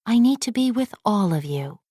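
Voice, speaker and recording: A woman playing a kind, reassuring royal mother in a children's storybook, in a gentle manner. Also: mid-range voice, expressive, normal speed, no echo, studio quality, natural voice. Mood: gently firm.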